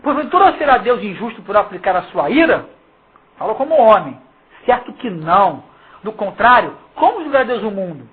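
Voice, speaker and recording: Husky, slangy Carioca preacher on muffled audio. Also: medium pitch, very animated, normal speed. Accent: Carioca Brazilian Portuguese. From Rio de Janeiro, Brazil. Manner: emphatic, slangy, mocking, with rhetorical questions to listeners. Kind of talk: informal Bible exposition, reading verses and commenting. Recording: muffled, phone-like lo-fi sound.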